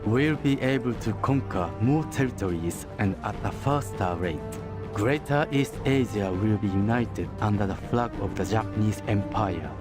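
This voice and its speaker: Man as a low, solemn imperial war narrator. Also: animated, moderate pace, lightly acted. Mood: proud, formal. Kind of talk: scripted game briefing narration. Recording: loud music over the voice. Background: loud music.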